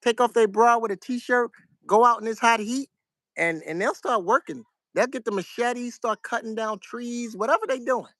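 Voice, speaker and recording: A middle-aged man from New York with a New York accent, giving casual, soft-spoken advice to his viewers in a fairly flat, monotone way. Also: very high-pitched, moderate pace, no echo, studio quality. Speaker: vlogger.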